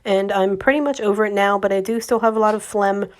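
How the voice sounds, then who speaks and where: A young woman with a slightly raspy voice from a recent cold, chatting casually to viewers as she narrates her decorating vlog. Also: medium pitch, fast. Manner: chatty, friendly, addresses viewers directly. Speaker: home decor vlogger.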